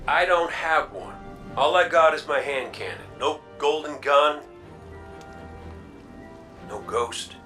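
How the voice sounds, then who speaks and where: An actor playing a wry, roguish robot gunslinger in a video game, with a folksy drawl. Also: male voice, a little high, slow, long pauses, sharp tone, distant mic, faint music behind. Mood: guarded, subdued. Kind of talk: video game cutscene acting.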